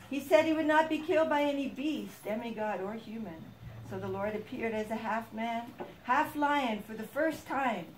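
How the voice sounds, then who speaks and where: A woman narrating a devotional puppet show as a storyteller, stressing the start of each phrase. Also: fairly high pitch. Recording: echoey room with faint background noise.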